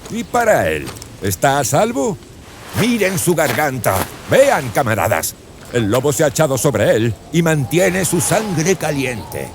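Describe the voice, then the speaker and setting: A man performs a spooked, superstitious soldier in a dramatized horror audiobook. His raised voice swings widely with urgency and agitation. Clear background noise runs behind him. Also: on the high side, sing-song, measured pace. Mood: alarmed.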